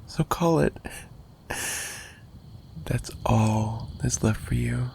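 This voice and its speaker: A man's voice: mid-range voice, drawn-out words, creaky, darkish tone, slight background noise, exaggerated voice. A man playing an obsessive, menacing mafia kidnapper in an ASMR roleplay, possessive and teasing, with a taunting delivery that swings between murmurs and giggles. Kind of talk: dramatic ASMR roleplay monologue.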